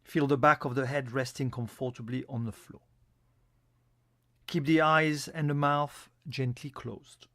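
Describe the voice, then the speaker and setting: A man giving a guided yoga nidra meditation as an instructor, in a calm, soothing, instructional manner. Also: mid-range voice, measured pace, no echo, studio quality.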